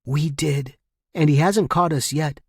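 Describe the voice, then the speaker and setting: A man's voice: fairly high pitch, measured pace, breathy edge, no echo, clean studio sound, voice pushed up. A man voicing an anxious, protective male fugitive in a storybook drama, urgent and trying to reassure. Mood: anxious reasoning. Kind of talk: storybook dialogue in dramatic fiction.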